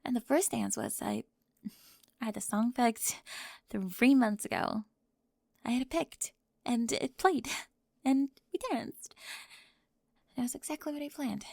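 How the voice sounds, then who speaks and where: A woman playing a high-strung, controlling teenage cheerleader, tearful and defensive, in an ASMR audio roleplay, flustered and emphatic with sighs and whispers. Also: big pitch swings, a little slow, gravelly, pauses often, a little mellow, no room sound, clean studio sound, full character voice. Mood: upset, confessing.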